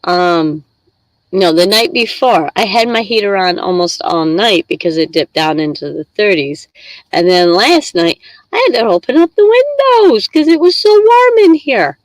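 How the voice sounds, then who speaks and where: A woman's voice: mid-range voice, expressive, normal speed, dry recording, poor audio. A middle-aged woman from Michigan hosting a casual live stream, talking in a chatty, rambling way while congested with a head cold. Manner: chatty, rambling, reads chat aloud.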